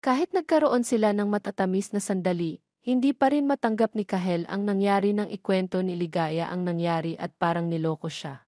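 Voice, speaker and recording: A woman with a Filipino accent reads a scripted story recap as narrator, phrase by phrase in a measured way. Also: mid-range voice, a little mellow, no echo, clean studio sound.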